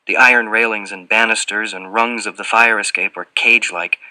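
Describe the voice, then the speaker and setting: A man's voice: on the low side, fairly even intonation, moderate pace, bright, forceful tone, no room sound, low-quality recording. A man voicing an anxious, wary young male pleading earnestly in an audiobook. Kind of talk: audiobook character dialogue.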